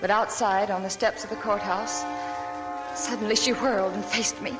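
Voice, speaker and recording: A woman playing a gentle devoted young wife. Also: medium pitch, fairly even intonation, normal speed, natural voice, low-quality recording. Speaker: narrator. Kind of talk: 1940s radio acting. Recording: old 1940s radio broadcast with music.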